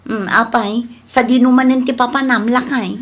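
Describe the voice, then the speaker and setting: A woman's voice: a little high, steady tone, normal speed, natural voice. A woman playing a nagging, exasperated wife in a comedy audio drama.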